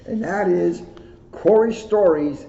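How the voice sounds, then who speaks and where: Mellow man reading aloud in an echoey room. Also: high voice, slow, creaky. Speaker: police officer reading a children's book aloud. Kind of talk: children's book read-aloud with casual asides. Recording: roomy echo, muffled audio, faint noise.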